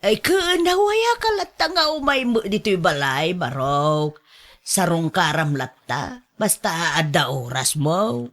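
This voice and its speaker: A woman playing a fretful, scolding but caring older mother and grandmother in a radio drama, with drawn-out exclamations. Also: on the low side, expressive. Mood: welcoming, flustered.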